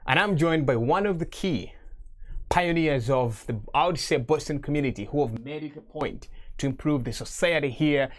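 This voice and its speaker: A man's voice: a little high, normal speed. Chatty man hosting a studio interview. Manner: rambling, animated questions with many fillers. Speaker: TV show host.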